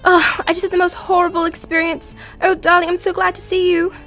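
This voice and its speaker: A woman's voice: very high voice, flat delivery, a little fast, fairly bright tone, faint music behind. A woman playing a frightened, pleading sweetheart, breathless and melodramatic, in an old-time radio drama. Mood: distraught. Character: frightened, pleading young sweetheart in a horror tale.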